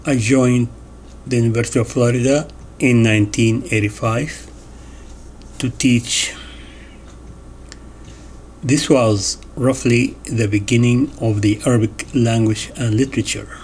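A man reading an interview transcript aloud in a measured way, announcing each question and answer. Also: medium pitch, fairly even intonation, a little mellow, a little hiss or hum, rough recording. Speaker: voice-over reader of an interview transcript.

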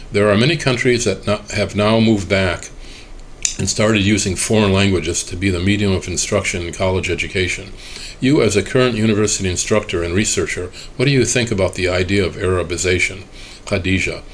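Male reader, slightly low voice, measured and even delivery of a translated interview transcript, voicing both sides. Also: slight background noise, low-quality recording. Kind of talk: reading a translated oral history transcript aloud.

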